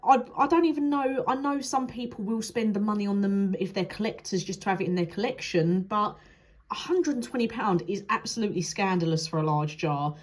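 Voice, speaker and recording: A woman's voice: medium pitch, fairly quick. A young woman talking through a candle review in a chatty, frank way. Her voice sounds nasal and blocked up from a cold, with the odd sniffle. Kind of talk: casual product review vlog.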